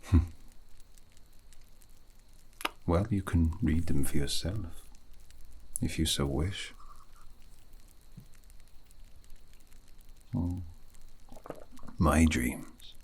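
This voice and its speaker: A man voicing a wistful, scholarly elf mage in a soft, breathy, half-whispered ASMR roleplay, speaking slowly and reflectively with long pauses. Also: rumbling low, exaggerated voice, rough recording. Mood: gentle, musing. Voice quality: breathy, gravelly, often slipping into whisper.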